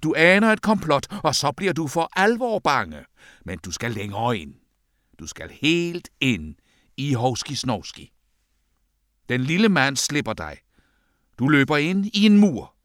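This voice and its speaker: A man delivers a scripted parody of a guided meditation, narrating theatrically in short, deliberate sentences. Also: a little high, a bit bright, no room sound, clean studio sound.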